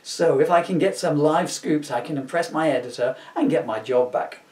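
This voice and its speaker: Eager newswoman with wounded pride, played by a man, raised pitch, comic storybook delivery. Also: moderate pace, darkish tone, echoey room, recorded from a distance, lightly acted. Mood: earnest. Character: eager, wounded female TV news reporter.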